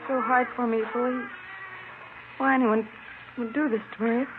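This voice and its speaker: A woman's voice: on the high side, steady tone, moderate pace, natural voice. An actress playing a grief-stricken, tearful young woman in 1950s radio crime drama style. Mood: tearful, shocked. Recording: muffled, faintly noisy vintage radio recording.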